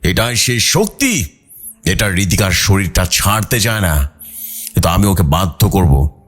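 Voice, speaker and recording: A man voicing a calm, fearless village exorcist who speaks solemnly and with firm command. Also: low voice, unhurried, full character voice. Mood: calm, resolute. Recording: background music under the voice.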